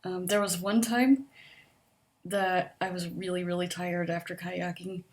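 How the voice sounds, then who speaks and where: Quiet woman rambling through survey questions, lots of ums, little stutter here and there, kinda shy. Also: on the low side, flat delivery, unhurried. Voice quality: soft-spoken with a mild stutter. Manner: hesitant, with frequent ums and likes, laughs softly. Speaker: vlogger answering a survey. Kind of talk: casual chat.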